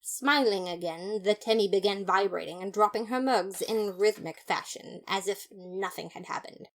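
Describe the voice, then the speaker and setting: A woman with a medium-pitched voice narrates an audio story. She delivers first-person detective narration in a dramatic, mock-serious way, playing up the comic noir style. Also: normal speed. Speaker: fan fiction narrator.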